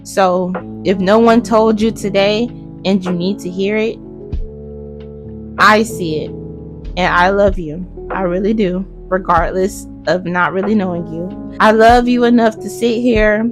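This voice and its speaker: Earnest, soft-spoken woman vlogger, hoarse from losing her voice, mid-pitched, faint guitar underneath. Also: unhurried. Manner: earnest, soft-spoken, addresses viewers directly. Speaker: crochet vlogger. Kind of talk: heartfelt casual talk to viewers while crocheting. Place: her room.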